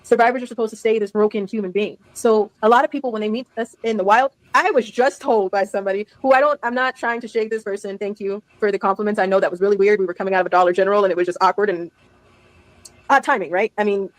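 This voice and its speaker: A woman's voice: medium pitch, steady tone, fast, dry recording, rough recording. Chatty woman with a dry, close voice. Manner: chatty, laughs often, acts out dialogue. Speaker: guest telling a story. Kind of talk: casual storytelling on a live stream.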